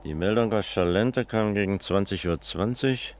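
An actor playing a composed, probing police captain, courteous and measured, in a German radio crime drama. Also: male voice, fairly low pitch, natural voice.

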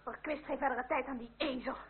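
Female actor as young lady of rank, radio play: high, haughty, formal, thin vintage sound. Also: fairly even intonation, a little fast, crowd noise, lightly acted. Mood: scornful. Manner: cutting, formal. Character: haughty, sharp-tongued young noblewoman. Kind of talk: period radio drama acting. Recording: old narrow-band radio recording.

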